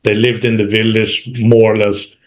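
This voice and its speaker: A man from Sweden, speaking as an interview guest about his working life in a halting, self-correcting conversational style. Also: fairly low pitch, little pitch movement. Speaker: interview guest, manufacturing executive. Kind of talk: recounting career experience in conversation.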